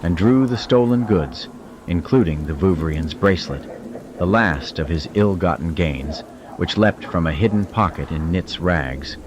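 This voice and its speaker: A man's voice: sits low, animated, measured pace, muffled recording, background noise. A man reading scripted audiobook fiction as a dramatic narrator.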